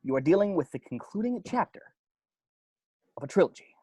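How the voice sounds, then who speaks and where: A man's voice: sits high, sing-song, brisk, warm tone, breathy edge, dry recording, heavily acted. A man playing a dead young film geek who explains horror rules on a homemade video, emphatic and stop-and-start, in an amateur table read. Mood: earnest, ominous.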